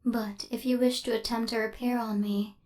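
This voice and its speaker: A high-pitched female killer robot, acted by a woman for an ASMR roleplay. She is cold and superior, phrasing everything in stiff, clinical terms, with a fluster she keeps under wraps. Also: little pitch movement, normal speed, a little mellow, natural voice. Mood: incredulous, stern.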